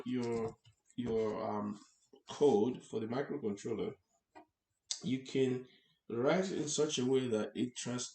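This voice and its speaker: Male instructor, low register, halting explanatory delivery with rephrasing, roomy echoing recording. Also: a little mellow. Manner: halting, repeats and rephrases phrases, checks with "right?". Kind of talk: explanatory tutorial lecture, unscripted. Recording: echoey, roomy sound.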